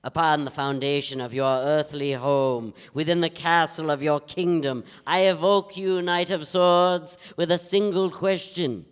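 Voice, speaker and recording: A man's voice: a little high, steady tone, measured pace, few pauses, smooth, natural voice. Man playing a solemn, grandiose psychic medium, echoing hall. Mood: solemn, incantatory. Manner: formal, portentous, incantatory. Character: solemn, grandiose self-styled psychic medium named Joe. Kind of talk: live staged play reading. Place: theatre stage. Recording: reverberant theatre hall recording.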